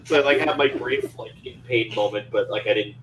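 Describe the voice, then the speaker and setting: A man's voice: mid-range voice, a little fast, over a noisy crowd. A man casually telling stories among friends, restarting and repeating words as he goes.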